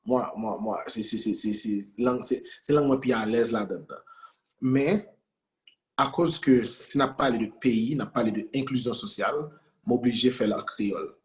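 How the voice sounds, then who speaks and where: A man's voice: mid-range voice, normal speed. A man, a guest in an interview, talking in a conversational way, often stumbling over and repeating words as he searches for what to say and mixing Creole with French. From Haiti. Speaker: guest entrepreneur.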